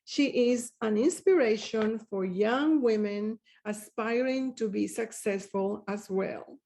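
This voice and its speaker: Woman, awards presenter, slow delivery, mellow tone, reads prepared tributes. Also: mid-range voice. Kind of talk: presenting awards at a live event.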